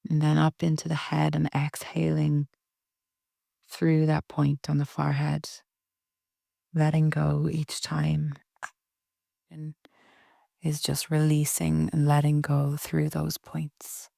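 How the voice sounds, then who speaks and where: A woman leading a guided relaxation meditation in a soft, breathy, soothing way with long pauses. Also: deep voice, completely flat delivery, no room sound, clean studio sound. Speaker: yoga nidra meditation guide.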